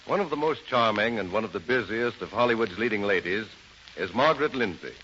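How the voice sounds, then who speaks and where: A man's voice: fairly low pitch, animated, brisk, pushed and bright. An American man hosting a 1930s radio show in a formal, courtly announcing style. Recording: dull, noisy 1930s radio recording.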